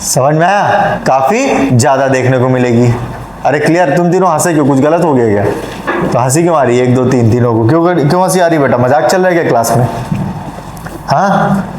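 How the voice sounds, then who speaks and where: A man's voice: medium pitch, animated, a little fast, darkish tone, slight background noise. A male biology teacher with a sore throat, lecturing a class and drilling students with repeated questions. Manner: scolding, then joking with students. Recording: very echoey classroom.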